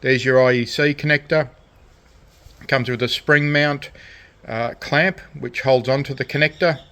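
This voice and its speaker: Slow-talking man, halting delivery. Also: medium pitch, steady tone, a bit bright. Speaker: DIY electronics hobbyist presenting his project. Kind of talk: unscripted hands-on demonstration. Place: indoor radio shack workbench.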